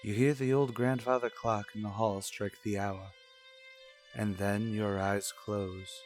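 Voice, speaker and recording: A man's voice: fairly low pitch, little pitch movement, a little mellow, soft background music. Man narrating a dramatic radio serial. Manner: measured, addresses listener as the character. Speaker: narrator and announcer.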